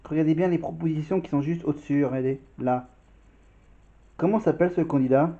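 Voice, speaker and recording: A man's voice: on the high side, brisk, warm tone. A man hosting a vlog, talking casually and directly to viewers with quiz questions and commentary, often repeating himself. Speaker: vlog host. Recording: dull, roomy sound.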